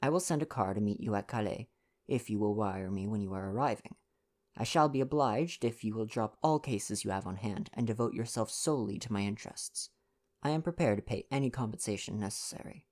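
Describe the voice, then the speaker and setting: Wealthy letter writer read by a man: anxious, formal, measured urgency, storybook narration. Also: lowish voice, darkish tone, close to an everyday voice. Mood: urgent.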